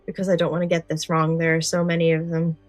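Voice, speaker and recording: A woman's voice: low voice, completely flat delivery, quick pace, dry recording, soft background music. A woman co-hosting a podcast in casual, enthusiastic chat, gushing and informal in her wording. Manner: informal, chatty, uses "like" often. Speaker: podcast co-host. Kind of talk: casual chat about films and scary stories.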